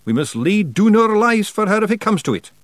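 A rascally wee Scottish clansman, high-pitched and wildly swooping. He blusters proudly in broad dialect, excitable as a storybook scamp. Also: brisk, dry recording, clean studio sound. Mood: cheerfully proud.

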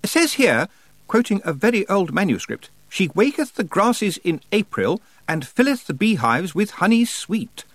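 Man voicing a high, bookish, proper witch schoolmistress. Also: sing-song, moderate pace, fairly bright tone, dry recording, heavily acted. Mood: matter-of-fact.

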